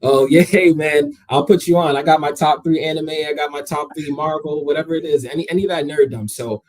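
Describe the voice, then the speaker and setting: A man's voice: on the high side, steady tone, fairly quick, a crowd in the background. A young man of Jamaican heritage, a guest in a casual interview, talking energetically and conversationally, often repeating his words as he starts a sentence.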